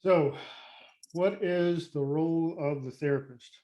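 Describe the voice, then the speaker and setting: A man giving a class presentation, halting and searching for his words. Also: fairly high pitch, warm tone. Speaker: student presenter. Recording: roomy echoing room.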